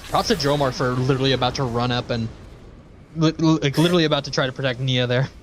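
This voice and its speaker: A man's voice: medium pitch, fairly even intonation, fast talker, slight background noise. Enthusiastic, sweary male game streamer. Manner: profane, enthusiastic. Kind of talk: casual reaction commentary over game cutscenes. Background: faint game music and dialogue.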